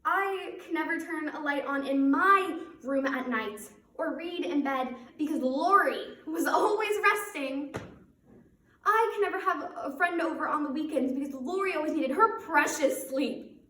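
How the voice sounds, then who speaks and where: A woman's voice: high-pitched, measured pace, bright, forceful tone. A woman performing a dramatic stage monologue as a resentful, hurt teenage daughter, with aching, emphatic phrasing. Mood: aching, longing. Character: resentful, wounded teenage daughter confronting her mother. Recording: roomy echo, distant microphone.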